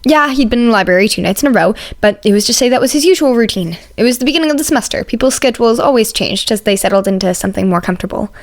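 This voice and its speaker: A woman's voice: fairly high pitch, lively intonation, very fast, few pauses. A woman reading fan fiction aloud as scripted story narration.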